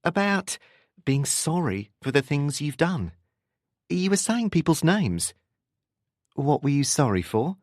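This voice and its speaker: A man's voice: on the high side, sing-song, unhurried, long pauses, a little mellow, dry recording, voice pushed up. Guy reading as a nosy persistent woman in an audiobook, dry close sound, lifted a bit. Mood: curious, teasing. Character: curious, persistent young woman, probing and smoking.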